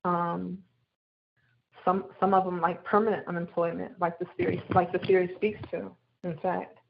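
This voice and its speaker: Female discussion participant, deep gravelly voice, casual talk, slightly dull call audio. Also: level tone, normal speed.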